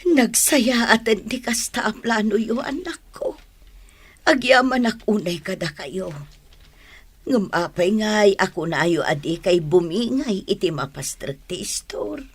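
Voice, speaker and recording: Casting: actress as elderly Ilocano village mother, husky voice, exclaiming and emotional, radio drama. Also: medium pitch, lively intonation, unhurried. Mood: grateful, moved.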